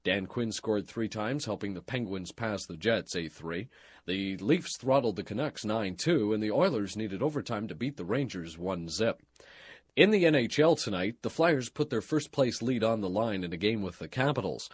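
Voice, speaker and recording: A man reading a scripted radio news and sports bulletin in a formal, measured broadcast delivery. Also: fairly low pitch, animated, husky, no room sound, clean studio sound.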